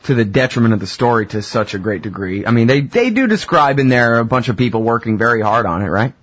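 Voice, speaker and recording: Man, radio host, casual chatty interviewer, mid pitch, dry dull lo-fi sound. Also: lively intonation, quick pace, dry recording. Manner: chatty, loose and informal.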